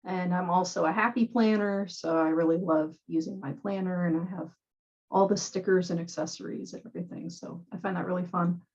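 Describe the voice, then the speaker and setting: A woman's voice: on the low side, steady tone, a little fast, a little mellow. Woman advisor on dull lo-fi call audio. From California. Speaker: academic advisor. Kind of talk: webinar self-introduction.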